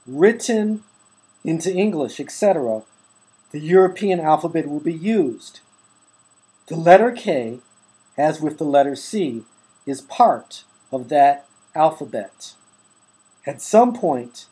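A man hosting his own online channel, reading a book passage aloud to his webcam in a measured, deliberate way at home. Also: fairly high pitch, warm tone, husky, rough recording. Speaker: YouTube host reading from a book. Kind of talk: slow, deliberate read-aloud to camera. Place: indoors at home, holding a book to the camera.

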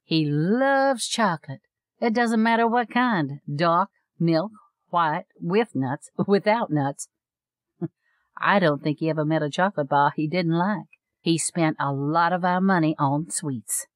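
A woman's voice: fairly low pitch, unhurried, dry recording, clean studio sound, natural voice. A woman playing an exasperated, frank and wry estranged wife in an audio drama, talking colloquially. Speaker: voice actor.

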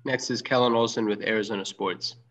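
A man moderating a press call, briskly announcing who asks next. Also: on the low side, steady tone, a bit bright. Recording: dull lo-fi line from a distance.

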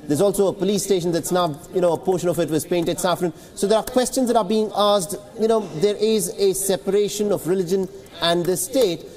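A man's voice: sits high, fairly quick, a little mellow, long reverb tail. A man in his thirties giving an earnest studio explanation as a news correspondent, often repeating words while he builds his points. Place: TV newsroom.

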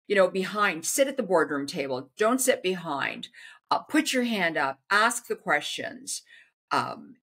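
A middle-aged woman with a somewhat low voice speaks as a guest from her home over a webcam. She gives earnest, emphatic advice, often pausing on fillers before she presses her point. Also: slightly rough. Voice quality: loud voice. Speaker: interview guest. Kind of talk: conversational interview over video call. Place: indoor home room. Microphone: video call webcam.

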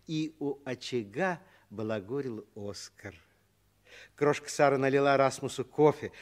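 A man narrates a 1960s children's radio play. His voice sits rather high for a man. He reads the scripted story expressively, as a storyteller does. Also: darkish tone.

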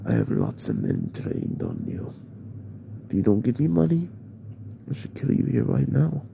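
A man playing a menacing, possessive mafia boss in an ASMR roleplay, in a breathy, half-whispered murmur with slow, deliberate pauses. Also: muffled recording, exaggerated voice. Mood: threatening. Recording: noisy, with steady background rumble.

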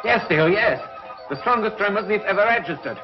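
A commanding middle-aged male commissioner in 1950s space television. He talks quickly in a clipped, official way, a little high in pitch. The old soundtrack sounds muffled. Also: lively intonation, far from the mic, over music. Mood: urgent. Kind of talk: 1950s TV serial acting. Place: studio control-room set.

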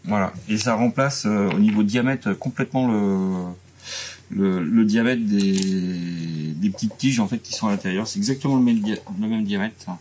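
A man's voice: lowish voice, normal speed, darkish tone, a little hiss or hum. A French man in his forties casually explaining a repair step by step, hesitant with many fillers, in a large workshop. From France. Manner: hesitant, many "euh" and restarts. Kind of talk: casual how-to demonstration.

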